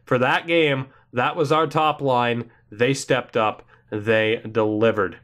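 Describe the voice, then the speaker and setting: A young man hosting a gaming video, giving excitable, rambling let's play commentary on a hockey video game while congested from a cold. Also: medium pitch, slow. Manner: excitable, rambling, thinks aloud.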